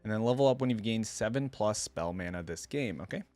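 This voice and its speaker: Guy doing a casual reaction vid, lowish voice, dry recording, kinda thinking out loud. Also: animated, a little fast, dry recording. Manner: thinks out loud, reads ability text aloud. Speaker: reaction video host.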